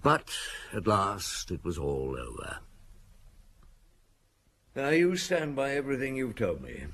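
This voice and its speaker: An actor playing a seasoned French police chief inspector in a radio drama, patient and dogged, speaking in a measured, probing, official way with thoughtful hums. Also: male voice, on the low side, wildly swooping pitch, fairly bright tone, slight background noise, full character voice. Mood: reflective. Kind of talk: radio drama acting, reminiscing and interrogating.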